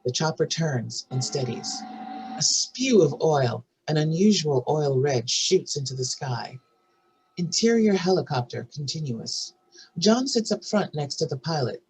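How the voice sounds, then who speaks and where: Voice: mid-range voice, sing-song, unhurried, a little mellow, slight background noise, poor audio. A narrator reading out stage directions and scene headings in a scripted table read.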